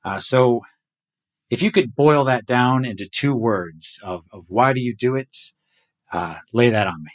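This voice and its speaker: A man's voice: mid-range voice, unhurried. A man hosting a casual podcast conversation, playful and upbeat with a joking manner. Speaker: podcast host.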